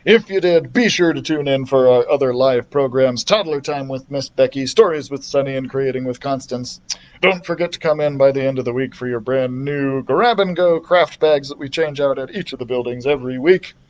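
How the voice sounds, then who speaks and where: A man reading a children's adventure novel aloud as a story-time host, with an animated, theatrical delivery. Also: mid-range voice, moderate pace, highs cut off.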